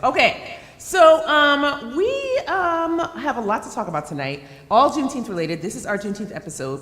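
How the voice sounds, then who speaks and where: Bright, animated woman hosting a live show. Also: mid-range voice. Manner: playful, teasing, talks back and forth with the crowd. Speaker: host. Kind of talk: lively live-show hosting with audience banter. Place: event space with a live audience. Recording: echoey hall.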